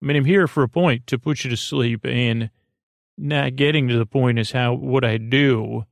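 A man with a creaky, gravelly voice hosting a sleep podcast in a meandering, halting, goofy monologue that keeps interrupting itself. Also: mid-range voice, no echo, studio quality. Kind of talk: rambling bedtime monologue.

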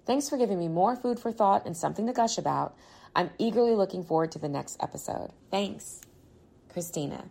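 A woman's voice: medium pitch, lively intonation, fairly quick, creaky, warm tone. A woman doing a dramatic reading of a listener's email as a guest reader.

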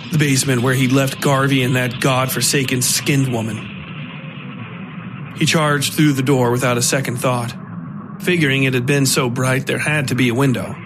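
A man reading scripted dark fiction as the narrator of a horror podcast. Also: mid-range voice, fairly even intonation, moderate pace, poor audio. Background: music.

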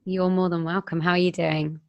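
Woman, 20s, podcast host, bubbly and chatty, low voice, dry close sound. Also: little pitch movement, fairly quick, gravelly, a little mellow, no echo. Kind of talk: podcast intro and casual interview.

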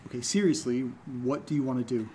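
A man's voice: medium pitch, fast talker, mellow, slight background noise, close to an everyday voice. An actor playing an earnest, exasperated man set on investigating, in a naturalistic horror audio drama. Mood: wary, questioning.

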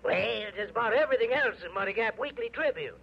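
A really high pitched country editor fella, folksy drawl, swoopy delivery, old dull radio sound. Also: male voice, lively intonation, fast talker, gravelly, full character voice. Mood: urgent, folksy. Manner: folksy, colloquial, hesitant drawl. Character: folksy small-town newspaper editor, anxious and drawling. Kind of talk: 1960s radio drama acting.

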